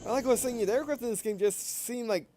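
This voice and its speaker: A man giving casual gameplay commentary, halting and often stumbling over and repeating his phrases. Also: very high voice, dark tone, faint music behind. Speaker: gaming video host. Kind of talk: casual gameplay commentary with read-aloud dialogue.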